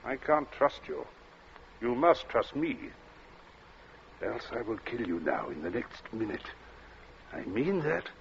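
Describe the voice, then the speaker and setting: Old noisy radio thriller, a smooth cold captain threatening someone politely, voice rises and dips a lot. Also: male voice, mid-range voice, sing-song, exaggerated voice. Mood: coldly threatening. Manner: suave, measured, hesitant asides, polite menace. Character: cold, urbane male captain coolly menacing a guest. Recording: dull, noisy vintage radio recording.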